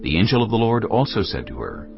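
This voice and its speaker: A man narrating scripture from a script in a measured, dramatic way. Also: on the low side, very animated, creaky. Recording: slightly dull audio, music behind. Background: background music.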